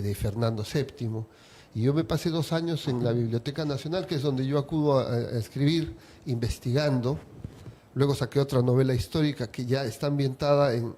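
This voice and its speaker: Mellow-voiced writer in interview. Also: male voice, mid-range voice, a little hiss or hum. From Peru. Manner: reflective, unhurried, with small restarts. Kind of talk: relaxed interview answers.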